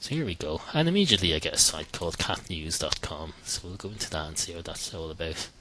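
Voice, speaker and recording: A man's voice: sits low, expressive, quick pace, bright, forceful tone, a little hiss or hum, poor audio. A man hosting a podcast, talking through a rambling comic monologue with a sarcastic tone, many ums and restarts, and the odd swear word. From Ireland. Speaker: podcast host.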